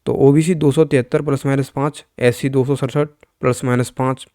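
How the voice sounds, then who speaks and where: A man's voice: medium pitch, steady tone, brisk, dark tone. A man presenting exam news as an informal explainer, instructing viewers directly and often repeating himself. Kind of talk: informal explainer commentary over on-screen figures.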